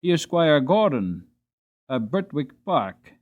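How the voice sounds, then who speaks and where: A man's voice: a little high, very animated, takes it slowly. A man voicing a polite, earnest young male groom, in a slightly dull recording.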